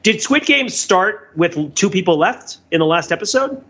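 A man's voice: sits high, animated, normal speed, pushed and bright, husky, dull audio. A man talking casually as a podcast panelist, discursively explaining with examples and frequent check-ins.

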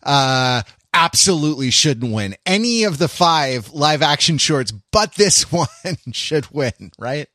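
A man hosting a casual, joking podcast, rambling along with halting starts and self-corrections. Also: a little high, animated, sharp tone, dry recording, studio quality. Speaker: podcast host.

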